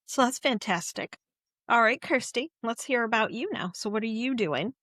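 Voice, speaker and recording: A woman's voice: fairly high pitch, lively intonation, moderate pace, a bit bright, no room sound, clean studio sound. A woman hosting a casual podcast chat, eagerly asking questions and laughing easily. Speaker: podcast host.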